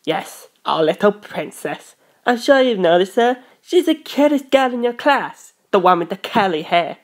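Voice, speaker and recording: A woman's voice: mid-range voice, lively intonation, unhurried. A woman voicing a brash, teasing teenage older sister in an audiobook, chatty, blunt and wry. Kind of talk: audiobook character voice.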